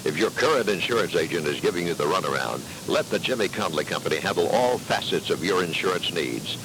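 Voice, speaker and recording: A man's voice: low voice, lively intonation, brisk. An American man reading a scripted local radio commercial as an announcer. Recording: noisy taped radio broadcast.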